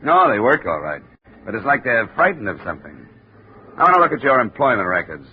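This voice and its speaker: A man's voice: mid-range voice, sing-song, fairly quick, narrow, tinny audio, natural voice. Tough radio detective, acted by a man, noisy recording. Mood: probing. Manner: matter-of-fact, pointed, confrontational. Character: tough, persistent male insurance investigator. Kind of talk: 1950 radio detective drama acting and narration.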